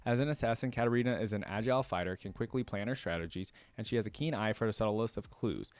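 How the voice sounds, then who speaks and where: A man's voice: on the low side, fast talker, clear, resonant tone, no echo. A man hosting a reaction video, reading aloud and breaking into informal, rambling casual commentary. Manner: informal, rambling asides between read passages.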